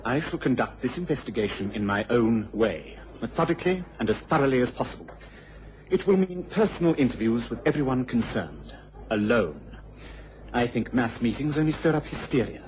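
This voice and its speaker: A man's voice: medium pitch, lively intonation, normal speed, a little mellow, slight background noise, natural voice. A man playing a firm, methodical police inspector in a radio drama, formal and precise. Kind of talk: BBC radio drama acting. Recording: dull old radio recording.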